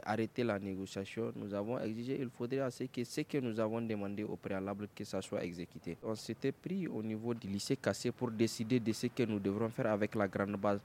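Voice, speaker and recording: A man, a student union secretary general, making a formal, deliberate statement for radio. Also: medium pitch, a little mellow, slight background noise.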